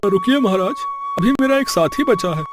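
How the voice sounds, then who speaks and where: A high-pitched village oil-presser, acted by a man, chatty and plain-spoken, talks in a familiar, exclamatory way. It is cartoon story dialogue with music clearly behind him. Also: animated, normal speed. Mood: earnest.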